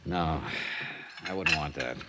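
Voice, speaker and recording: A man's voice: very deep voice, slow pace, very noisy. A man playing a hard-boiled, wry American insurance investigator in an old-time radio drama, speaking in clipped, terse lines. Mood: terse, probing. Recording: old radio broadcast with music.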